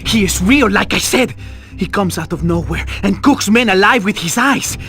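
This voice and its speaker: A nervous, grovelling gangster. His pitch swings widely as he pleads and stammers, with game music underneath. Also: lively intonation, moderate pace, fairly bright tone. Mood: terrified. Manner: servile, stammering, superstitious. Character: cowardly, fawning male street gangster, nervous and pleading. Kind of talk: dramatic video game cutscene acting.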